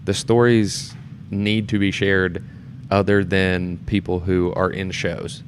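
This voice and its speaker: A man's voice: sits low, flat delivery, slow pace. A man speaking as a podcast guest in an informal, earnest way, recorded in a shared studio space in a theater. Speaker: podcast guest, theater performer and board member. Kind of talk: casual podcast conversation. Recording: clear background noise.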